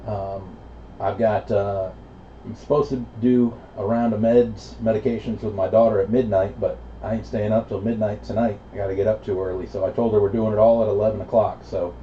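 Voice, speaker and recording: A man's voice: lowish voice, steady tone, brisk. A middle-aged man chatting casually as an operator over CB radio. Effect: CB radio transmission. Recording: muffled CB radio reception, loud static noise.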